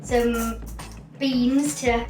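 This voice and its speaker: High-voiced woman in an echoey room. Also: steady tone, very slow, a little mellow. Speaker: cooking show presenter. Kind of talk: casual cooking demonstration chat. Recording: echoey room, distant mic, music behind.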